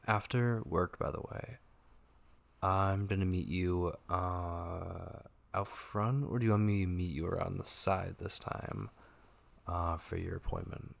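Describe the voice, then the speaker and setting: A man playing a tender, devoted young gamer boyfriend in an ASMR roleplay, speaking softly, intimately and hesitantly with a husky voice. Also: deep voice, steady tone, unhurried, full character voice. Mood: casual, attentive. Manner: soft, hesitant, intimate, with murmured asides. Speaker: ASMR voice actor.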